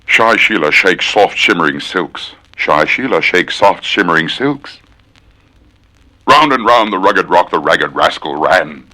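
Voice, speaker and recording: Theatrical man reciting, very animated pitch. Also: a little slow, low-quality recording. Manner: precise, dramatic enunciation. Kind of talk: theatrical tongue-twister recitation.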